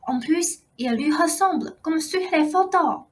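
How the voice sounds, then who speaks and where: A flustered young daughter from a fairy-tale radio play, acted by a woman. She has a very high, childlike voice and pleads insistently in halting phrases. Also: animated. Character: hesitant, flustered young daughter calling on her mother. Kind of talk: theatrical radio play acting.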